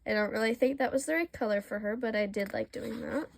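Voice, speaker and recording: A woman's voice: on the high side, fast, vocal fry, a little hiss or hum. A young woman, a little congested from allergies, chatting casually and self-deprecatingly as she shows her old drawings. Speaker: art vlogger. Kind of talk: casual sketchbook tour.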